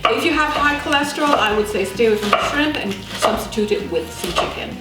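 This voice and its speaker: A woman of fifty talks through a cooking demonstration. Her voice sits fairly high and she is chatty and emphatic, often putting questions to the listener. The room echoes and music plays loudly behind her. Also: expressive, brisk. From Jamaica. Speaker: cooking show host. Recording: roomy echo, loud music behind. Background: music, clattering pots, stirring.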